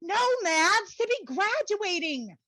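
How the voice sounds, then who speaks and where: Casting: actress as dramatic young bestie, needy and blunt, very animated high voice, comedic stage reading. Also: female voice, slow pace, no room sound, heavily acted. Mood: gushing excited. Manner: exclamatory, dramatic, valley-girl slang. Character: Brooklyn, gushing, needy, blunt young married best friend. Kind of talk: online play reading, comedic dialogue.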